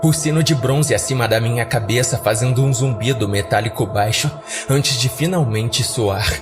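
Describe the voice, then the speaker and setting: A man narrates a horror story as a scripted podcast piece. His voice sits at an average pitch, delivered dramatically, with clear music running underneath. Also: moderate pace.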